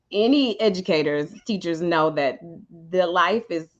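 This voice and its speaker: A woman from Houston, Texas, a podcast guest sharing her faith testimony in a reflective, halting way with many ums. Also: sits low, muffled recording. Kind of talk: personal testimony in conversation.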